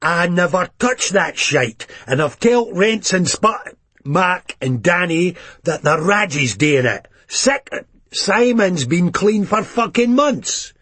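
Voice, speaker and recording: A man's voice: high-pitched, sing-song, slow pace, fairly bright tone, breathy edge, no echo, voice pushed up, heavily acted. A man doing a volatile Scottish hard man. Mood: aggressive. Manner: snapping, swearing, combative.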